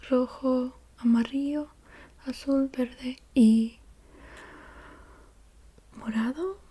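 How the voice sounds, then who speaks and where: A woman speaks in a very breathy, whispery ASMR style, on the higher side in pitch yet extremely soft and mellow. She rambles casually at a slow pace, hesitating and playfully repeating words under her breath. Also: a little hiss or hum. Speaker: ASMR creator.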